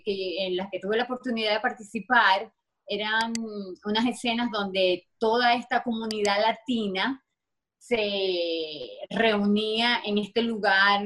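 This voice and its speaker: A woman's voice: mid-range voice, fairly bright tone, poor audio. A middle-aged woman from Maracaibo, Venezuela, with a marked Maracaibo accent, chatting as a guest in an interview with many fillers and restarts. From Venezuela (Maracaibo, Zulia). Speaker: actress guest. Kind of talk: interview conversation.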